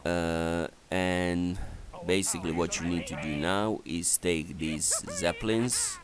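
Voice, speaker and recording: A man giving halting, matter-of-fact, instructional commentary on a video game strategy. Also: low voice, steady tone, crowd noise.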